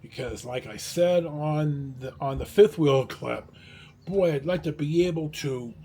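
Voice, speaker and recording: A man talking casually in a rambling, halting vlog style, blunt and a little salty, with a husky, rough-edged voice. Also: a little high, mellow, a little hiss or hum. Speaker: vlogger.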